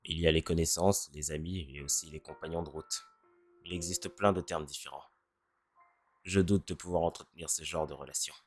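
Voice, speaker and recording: A performer voicing a cynical, self-deprecating teenage boy in a French fan dub who speaks in a deadpan way. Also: rumbling low, fairly quick. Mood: gloomy. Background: faint music.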